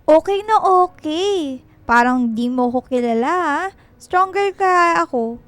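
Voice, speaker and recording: A woman's voice: high voice, animated, measured pace, no echo. A woman playing a cheerful, bashful sixteen-year-old little sister in a radio drama.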